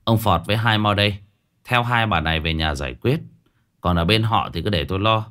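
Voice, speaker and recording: A man's voice: lowish voice, fairly quick, a bit bright, close to an everyday voice. A man voicing a stern young male shaman who interrogates harshly in clipped phrases.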